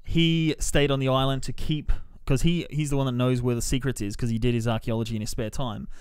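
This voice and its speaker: A man with a clear, mid-pitched voice hosts a casual podcast review. He swears freely and slips into mimicked dialogue while retelling things. The sound is dry, with no room around him. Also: steady tone, brisk, no room sound. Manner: sweary, mimics characters in quotes. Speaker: podcast host reviewing films. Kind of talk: casual spoiler-filled movie review chat.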